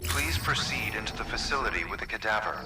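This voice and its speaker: A man playing a game's automated facility voice, low and formal. Each announcement is clipped, polite and procedural, set over clearly audible music. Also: lively intonation, fast talker, close to an everyday voice, low-quality recording. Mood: neutral, procedural. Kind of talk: automated announcements in a video game.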